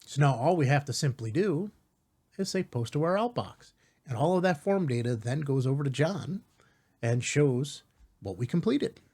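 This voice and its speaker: A man hosting a step-by-step software tutorial in an informal, reassuring way, often checking in with rhetorical asides. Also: a little high, lively intonation, moderate pace, husky. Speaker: tutorial host.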